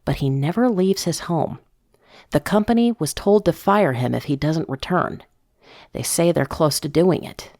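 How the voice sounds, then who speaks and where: A woman's voice: sits low, animated, normal speed, mellow, no room sound, studio quality. A woman voicing an earnest, tender middle-aged father in an audio drama, reflective and fatherly in manner. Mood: guarded, confiding.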